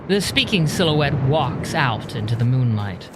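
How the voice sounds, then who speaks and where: A male narrator tells an audio drama story at an unhurried pace. His voice is medium in pitch and measured, with music clearly playing behind it. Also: sing-song. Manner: measured, descriptive.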